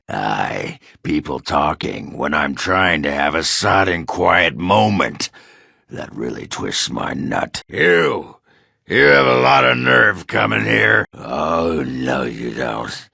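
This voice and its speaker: Gruff, crude dwarf berserker for a fantasy game: extremely low, raspy, blunt and hard-drinking. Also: male voice, very animated, slow pace, sharp tone, no room sound, clean studio sound, heavily acted. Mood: grumpy, sullen, then drunken, belligerent. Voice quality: gravelly, raspy. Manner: crude, blunt, swearing, grunting. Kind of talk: video game character acting.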